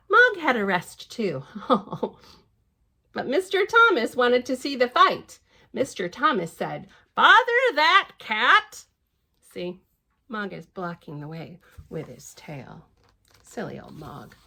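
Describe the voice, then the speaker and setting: A woman reading a picture book aloud to children, expressive and playful, with gentle little asides. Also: mid-range voice, creaky, husky. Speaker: library storytime reader.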